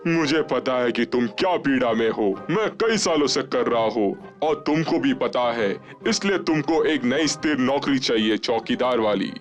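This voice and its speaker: A man playing an authoritative veteran boss of a superhero team in a dubbed cartoon, speaking in a measured, commanding way. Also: medium pitch, animated, lo-fi sound, soft background music, lightly acted. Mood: measured, proud. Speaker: cartoon voice actor. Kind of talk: animated cartoon dub.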